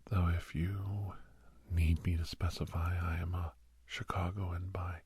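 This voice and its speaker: A man with an American accent playing a kindly, gentle doctor who counsels a young werewolf in a breathy, whispered ASMR roleplay. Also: low-pitched, level tone, dry recording, heavily acted. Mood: reflective, confiding. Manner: gentle, reflective, slow and halting.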